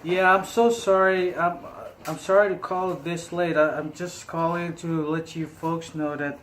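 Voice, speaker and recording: High-pitched man making prank calls. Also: fairly even intonation, unhurried, fairly bright tone, slight background noise. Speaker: prank caller. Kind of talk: improvised prank phone calls.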